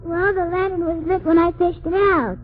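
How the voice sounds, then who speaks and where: Man voicing a tiny high-pitched mischievous little girl. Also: moderate pace. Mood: sly, deadpan. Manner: childlike, innocent, cheeky deadpan comebacks. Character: mischievous, literal-minded imaginary little girl. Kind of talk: 1940s radio comedy double act. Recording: tinny, hissy 1940s radio broadcast.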